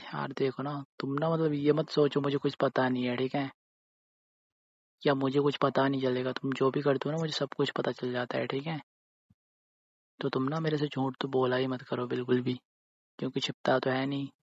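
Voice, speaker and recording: Man playing sulky, jealous young boyfriend, flat-toned. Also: medium pitch, normal speed, lo-fi sound, lightly acted. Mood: accusing. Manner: sulky, repetitive, ends phrases with tag questions. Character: hurt, jealous, accusing young boyfriend on a call. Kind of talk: acted phone-call drama in casual conversational speech.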